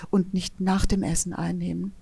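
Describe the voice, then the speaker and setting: A woman's voice: fairly low pitch, level tone, normal speed, vocal fry, mellow, slightly rough. A woman giving thorough interview answers about health literacy, explaining with everyday examples.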